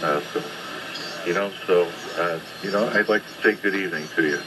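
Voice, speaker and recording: Very heavy noise surrounds a low-pitched man on a shortwave ham radio transmission. He is an operator talking off the cuff, halting and understated, with polite wording. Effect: shortwave single-sideband radio transmission. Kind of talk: unscripted on-air exchange.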